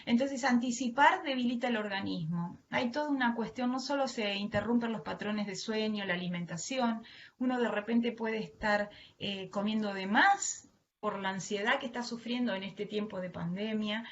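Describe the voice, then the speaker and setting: A woman's voice: medium pitch, normal speed. A woman with an Argentine Spanish accent giving a devotional teaching to other women, addressing her listeners earnestly and affectionately. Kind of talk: devotional sermon to an online audience. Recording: dull lo-fi online audio, faint noise.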